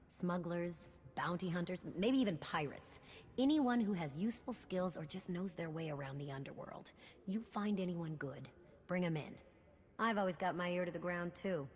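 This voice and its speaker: An actress playing a wry, cocky smuggler in a video game, talking in a folksy, sardonic way. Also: female voice, low voice, a little mellow. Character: brash, wry female smuggler running an underworld supply chain. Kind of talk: scripted video game dialogue. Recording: reverberant hall with background hum.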